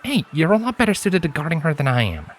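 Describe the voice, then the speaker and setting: Man acting an easygoing stallion, lifted pitch. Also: very animated, quick pace, soft background music. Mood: reassuring, persuasive.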